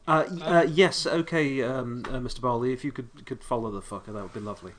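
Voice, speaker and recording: A man's voice: mid-range voice, quick pace, a bit bright. Man with faint background noise.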